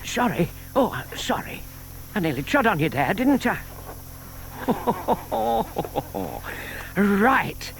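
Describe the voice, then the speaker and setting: Older gentleman, high lilting voice, unhurried, courteous, excitable, children's audio drama, faint background noise. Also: creaky, exaggerated voice, rough recording. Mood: delighted. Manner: muttering to himself, courteous. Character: gentle, excitable retired bachelor hatching a plan.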